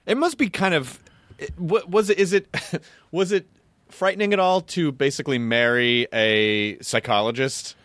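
A man hosting a podcast, asking questions in an animated, casual way and swearing now and then. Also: on the high side, moderate pace, dry recording. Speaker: podcast host. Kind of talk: casual interview chat.

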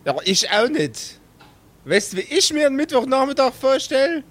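A man's voice: very high-pitched, very animated, moderate pace, fairly bright tone, pitched above the performer's own voice, exaggerated voice. A man voicing a brusque, foul-mouthed, easily exasperated woman band manager in an improvised audio play. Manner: scolding, flustered, blunt.

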